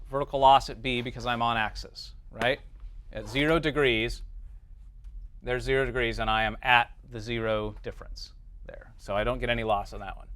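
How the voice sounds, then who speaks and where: A man giving an informal software lesson as a teacher, thinking aloud through each step and often checking in with the listener. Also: medium pitch, clear, resonant tone. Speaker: teacher, lecturer.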